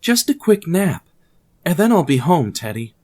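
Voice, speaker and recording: A man's voice: fairly high pitch, big pitch swings, normal speed, darkish tone, pitched above the performer's own voice. A man playing a bland, weary young office worker thinking to himself in an audio drama. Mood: tired, self-soothing.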